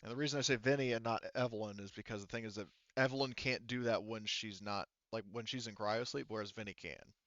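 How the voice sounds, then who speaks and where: A man's voice: fairly low pitch, fairly even intonation, fast, hardly any pauses, fairly bright tone, no echo, muffled recording. A man casually narrating a tabletop game as game master, explaining things in a rambling, informal way.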